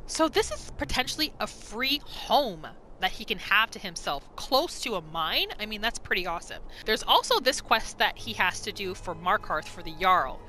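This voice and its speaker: A woman giving casual, expressive gameplay commentary as a roleplay host. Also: medium pitch. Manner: expressive, exclamatory.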